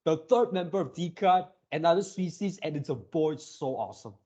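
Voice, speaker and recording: A man hosting a casual fan reaction video, gushing excitably in halting, drawn-out phrases. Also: a little high.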